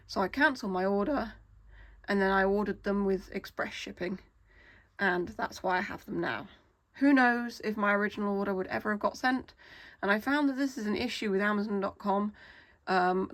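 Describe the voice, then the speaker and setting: Lady a bit hoarse from a cold, kinda gravelly, chatty with lots of ums. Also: medium pitch, a bit bright, no room sound. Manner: chatty, with frequent "um" fillers. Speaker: toy unboxing channel host. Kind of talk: casual unboxing talk.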